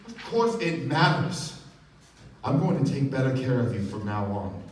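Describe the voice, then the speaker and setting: An actor playing a possessive, scornful rich husband in a live radio play. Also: male voice, medium pitch, big pitch swings, moderate pace, slight background noise, close to an everyday voice. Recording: echoey stage, distant microphone.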